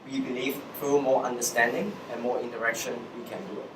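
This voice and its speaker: A man's voice: medium pitch, flat delivery, fast, fairly bright tone. A young man with a Hong Kong accent giving an explanatory presentation to students as a social entrepreneur. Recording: echoey room, distant mic, background noise.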